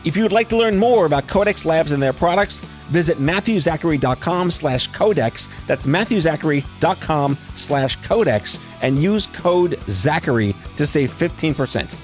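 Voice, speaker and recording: A man's voice: a little high, expressive, moderate pace, few pauses, faint music behind. A middle-aged man hosting a podcast interview, talking in a blunt, wry and casually profane way. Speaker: podcast host.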